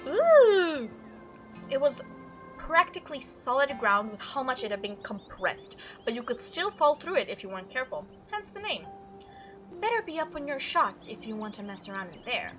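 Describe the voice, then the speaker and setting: A woman performs an excitable young female monster hunter from a visual novel. Her voice is high and very lively in pitch. She gushes and chatters through her tales, with music playing behind her. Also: moderate pace. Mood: enthusiastic recollection. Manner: animated, gushing storytelling. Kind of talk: visual novel character read-aloud.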